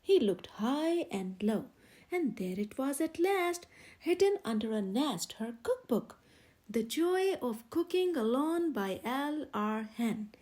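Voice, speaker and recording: High-pitched woman reading a children's story. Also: expressive, takes it slowly, a little mellow. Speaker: read-aloud storyteller, channel host. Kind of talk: expressive children's storybook narration.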